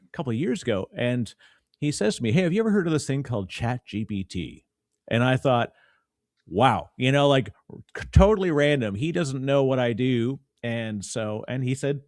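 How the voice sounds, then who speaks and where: A Canadian man hosting a podcast, chatting in a lively, storytelling way and laughing easily. His voice sits at an everyday middle pitch and sounds close and dry, with no room around it. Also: dry recording. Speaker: podcast host. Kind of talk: casual conversational podcast chat.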